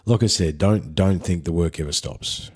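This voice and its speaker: Very deep husky Australian man. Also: normal speed. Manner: laid-back, candid, chatty. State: unwell. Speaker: podcast host. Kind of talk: solo spoken intro.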